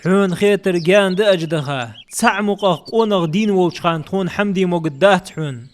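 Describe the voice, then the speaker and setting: A man's voice: sits high, fairly quick, lightly acted. A man voicing a male character in a dubbed cartoon. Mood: talkative. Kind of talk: animated cartoon dubbing.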